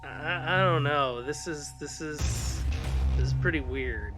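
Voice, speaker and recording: A man's voice: a little high, a little slow, music in the background. A man chatting casually as a podcast host. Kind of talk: casual chat.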